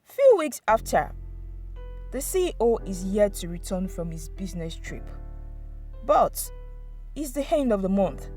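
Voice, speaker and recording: Woman, scripted folktale narration, lively varied pitch, faint background music. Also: unhurried, long pauses. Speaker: storyteller narrator.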